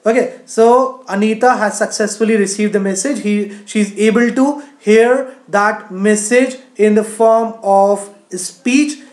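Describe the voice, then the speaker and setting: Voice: mid-range voice, steady tone, unhurried, few pauses, a little mellow. Coding teacher explaining stuff step by step, roomy echo. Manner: frequent "you know", explanatory. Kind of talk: screen-share tutorial narration.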